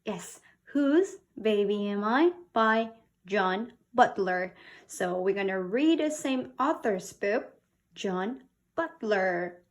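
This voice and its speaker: A woman from South Korea reading a picture book to young children as a teacher, with simple, expressive talk and questions. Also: mid-range voice, slow pace. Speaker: children's English read-aloud teacher.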